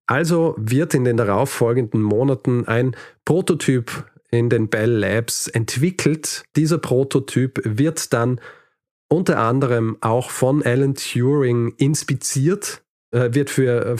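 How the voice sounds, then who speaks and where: A man's voice: mid-range voice, unhurried, a little mellow, no room sound. A man hosting a podcast, telling history in a conversational, explanatory way with light dry humour. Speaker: podcast host.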